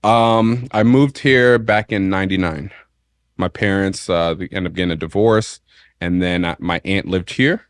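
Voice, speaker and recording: Laid-back low-voiced young man, dry. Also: normal speed, no echo. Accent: American. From St. Maarten. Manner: laid-back, rambling, lots of fillers. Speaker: DJ, interview guest. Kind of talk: casual interview conversation.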